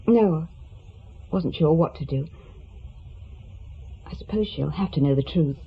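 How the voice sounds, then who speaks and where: A woman's voice: sits low, a little slow, creaky, slightly rough, full character voice. A woman playing a practical, reproachful middle-aged estranged wife in a radio play, speaking tersely and pointedly. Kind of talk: naturalistic radio drama acting. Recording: dull, lo-fi radio drama recording.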